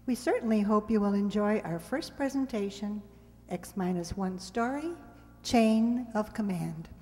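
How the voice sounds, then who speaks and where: A woman's voice: medium pitch, unhurried, darkish tone, faint music behind. A woman giving a prepared, courteous stage introduction as host of a radio players' show. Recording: echoey hall, live stage.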